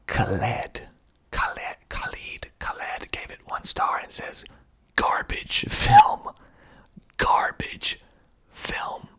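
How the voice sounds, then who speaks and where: A man speaking in a breathy, husky ASMR whisper, reading aloud and adding deliberate, dry asides. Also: very high-pitched, huge pitch swings, a bit bright. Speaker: filmmaker hosting his own video.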